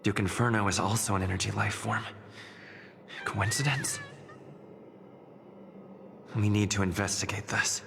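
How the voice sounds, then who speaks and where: An actor voicing a serious, reserved, analytical investigator in a video game, with a terse, deadpan manner. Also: male voice, on the low side, measured pace, vocal fry, pauses often, background noise. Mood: pensive, serious.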